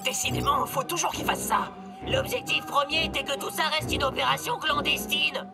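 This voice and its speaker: A woman voicing a high-strung, fervent alien enthusiast in a cartoon dub. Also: steady tone, normal speed, vocal fry, distant mic, music in the background. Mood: exasperated. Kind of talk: animated cartoon dub acting.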